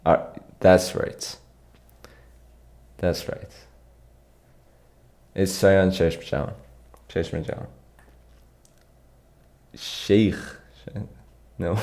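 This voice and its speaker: A young man from Iran, a competitive programming streamer, casually answering live chat while reading it aloud. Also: low voice. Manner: relaxed, reads and answers chat. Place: small dim room with pink light. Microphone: studio mic on arm.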